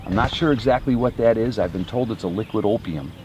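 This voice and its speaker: A man working as a tour guide, telling a dramatic history story to tourists in deliberate, short phrases. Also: on the low side, darkish tone, rough recording. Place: outdoors on a battlefield. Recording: clear outdoor ambient noise.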